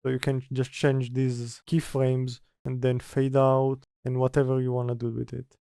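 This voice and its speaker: A man giving a casual software tutorial, hesitant and thinking aloud as he works. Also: medium pitch, monotone, normal speed, gravelly, clean tone, dry recording, clean studio sound. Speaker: tutorial presenter. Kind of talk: casual software tutorial, talking through steps.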